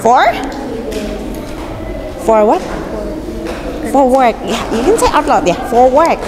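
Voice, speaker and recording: A young woman with a fairly high voice addresses a room of students, coaxing answers out of them and encouraging them. Her voice bounces around a big hall with heavy echo and a long reverb tail. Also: very animated, takes it slowly, a crowd in the background. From Indonesia. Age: 20s. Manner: encouraging, asks the audience questions. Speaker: guest speaker, Mandarin teacher. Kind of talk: speaking to a student audience. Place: large indoor hall.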